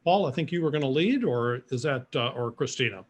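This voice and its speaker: Husky male moderator. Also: medium pitch, lively intonation, a little fast. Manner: loose, lots of fillers. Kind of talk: panel discussion.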